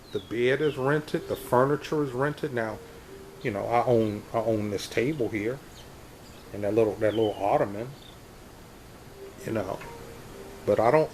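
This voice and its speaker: A man in his fifties with a soothing voice, vlogging casually from a hotel room, talking slowly with many restarts. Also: medium pitch, darkish tone, slight background noise, low-quality recording. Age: 50s. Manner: slow, repetitive, many restarts and fillers. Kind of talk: casual vlog chat. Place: extended stay hotel room.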